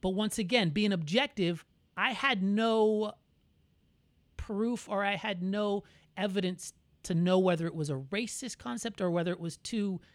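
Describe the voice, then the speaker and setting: A man with an American accent co-hosting a podcast, talking in a candid, reflective and measured way. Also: high-pitched, no echo. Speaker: podcast co-host. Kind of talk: casual conversational podcast.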